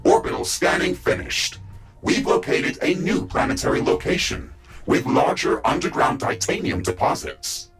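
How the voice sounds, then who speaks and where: A man's voice: high voice, very animated, moderate pace, creaky, a bit bright, faint music behind, heavily acted. A man voicing the composed, dutiful AI of a mech suit in a video game, giving formal, clipped status reports in a synthetic voice. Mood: composed, procedural. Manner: formal, clipped, procedural announcing.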